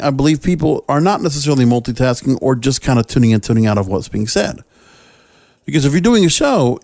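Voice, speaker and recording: A man's voice: medium pitch, a little mellow, dry recording, studio quality. A man hosting a podcast solo, giving opinionated commentary in a conversational, emphatic, somewhat rambling way with frequent check-ins to the listener. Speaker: podcast host. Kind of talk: opinionated solo commentary, part reading from notes.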